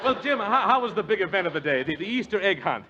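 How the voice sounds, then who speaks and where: A man's voice: high voice, sing-song, fast talker, heavy background noise. A man working as announcer and straight man on a 1940s radio comedy show, with a polished, emphatic delivery. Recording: dull lo-fi old radio recording.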